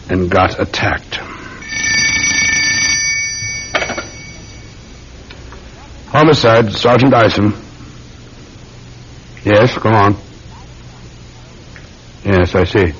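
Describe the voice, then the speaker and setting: An actor playing a dutiful, methodical British police sergeant in an old radio drama, polite and measured. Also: male voice, fairly low pitch, breathy edge. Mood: brisk. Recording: dull, noisy old radio recording.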